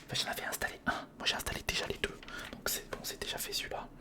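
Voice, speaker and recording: Whispering man, ASMR build commentary. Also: very fast. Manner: murmurs to himself while thinking through steps; informal, chummy address to the viewer. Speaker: ASMR video host.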